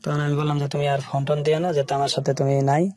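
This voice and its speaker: A man with a Bangladeshi accent telling his life story as an interview guest, in colloquial, halting short phrases. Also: on the high side, monotone, dark tone, no room sound.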